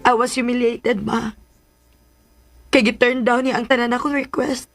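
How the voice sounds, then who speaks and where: Lovesick young woman, high-pitched, plaintive, confiding, Cebuano Filipino accent, radio drama, light music underneath. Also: steady tone, measured pace. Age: young adult.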